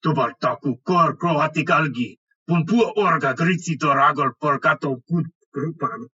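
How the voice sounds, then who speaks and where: A man voicing gruff, growling orcish raiders on patrol, wary and commanding, in a tabletop fantasy game. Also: moderate pace, bright, forceful tone, recorded from a distance, muffled recording. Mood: guttural, foreign tongue. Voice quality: deep, growling.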